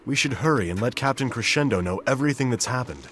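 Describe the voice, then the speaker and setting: An actor voicing a calm, formal young man in a game cutscene, measured and composed. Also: medium pitch, slight background noise, natural voice. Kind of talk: video game cutscene dubbing.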